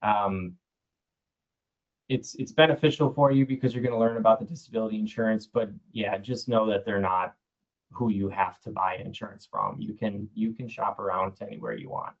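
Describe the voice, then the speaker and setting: A man's voice: on the low side, steady tone, normal speed. A man answering as an insurance agent on a panel. He explains casually and a bit hesitantly, with fillers. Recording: dull lo-fi audio.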